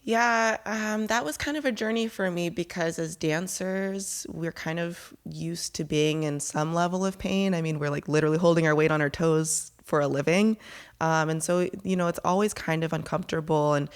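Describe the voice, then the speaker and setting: A woman in her thirties, a ballerina and interview guest, who talks candidly and easily, often says "um" and "like", and sounds a little raspy and hoarse today. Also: on the low side, normal speed. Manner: candid, relaxed, laughs often, frequent "um" and "like". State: slightly hoarse from teaching. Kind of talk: casual interview chat.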